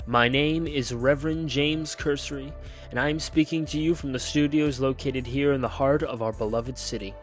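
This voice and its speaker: A man's voice: mid-range voice, steady tone, normal speed, soft background music, natural voice. A man voicing a smiling male television preacher who sermonizes in a sing-songy cadence. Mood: preaching. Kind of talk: storybook reading.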